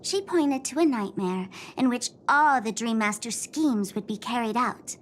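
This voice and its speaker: A woman's voice: a little high, measured pace, a little hiss or hum, close to an everyday voice. An actress playing a theatrical, teasing young trickster woman in an anime-style game, with a smug, sing-song delivery. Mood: sly, dramatic. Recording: music behind the voice. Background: game soundtrack music.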